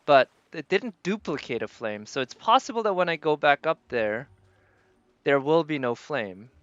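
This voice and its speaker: A man's voice: fairly high pitch, animated, moderate pace, a bit bright, dry recording, lo-fi sound. A man live streaming a video game, chatting casually with viewers and musing aloud as he plays. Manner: thinks aloud, tentative and musing.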